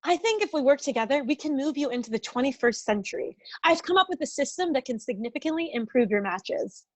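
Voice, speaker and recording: A woman's voice: sits high, lively intonation, fast. A woman acting an earnest, eager thirty-year-old psychologist in a comedy table read, polite in manner. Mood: earnest, sympathetic.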